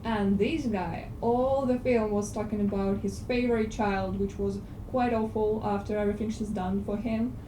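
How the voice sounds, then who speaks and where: A woman's voice: medium pitch, steady tone, moderate pace, few pauses, dark tone. A woman with a Russian accent giving a frank, blunt opinion in a film discussion in a cinema auditorium, speaking English as a second language. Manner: frank, blunt, hesitant fillers. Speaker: discussion club participant. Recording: echoey hall, distant, noisy.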